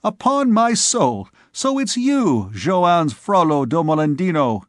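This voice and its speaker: A man's voice: a little high, sing-song, takes it slowly, dry recording, clean studio sound, voice pushed up. A man voicing a young male scholar who jokes at his friend's expense in an old storybook tale. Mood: jesting. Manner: mocking, playful.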